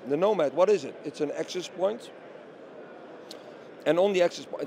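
Bright-voiced, higher-pitched man explaining products. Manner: explanatory, asks and answers own questions. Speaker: company representative being interviewed. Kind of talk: product demonstration interview. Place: busy trade show hall booth. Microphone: handheld interview microphone held by interviewer. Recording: faint bustling exhibition hall noise.

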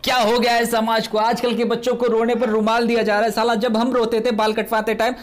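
A man's voice: extremely high pitch, flat delivery, a little fast. A young man giving comic voice-over commentary on funny clips, chatty and full of nostalgic jokes. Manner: jokey, nostalgic, chatty asides. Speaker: video commentator.

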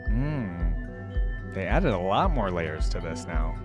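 A man's voice: sits low, sing-song, slow, dull audio, over music. A young man giving casual, rambling reaction commentary as a video host, close on a desk microphone. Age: young adult. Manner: rambling, with frequent "like" and "right?". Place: indoors at a desk, gaming setup.

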